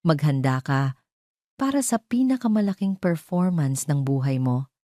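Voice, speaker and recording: Woman doing a wronged filipino wife in an audio drama, low mellow voice, calm but defiant, measured. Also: dry recording, studio quality. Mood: composed, defiant. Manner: clear, firm, measured. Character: betrayed wife, steady, composed, quietly defiant.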